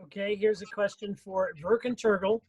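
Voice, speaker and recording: A woman's voice: mid-range voice, fairly even intonation, moderate pace, darkish tone, lo-fi sound, slight background noise. A woman casually moderating a panel, direct and taking charge. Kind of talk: casual hosting.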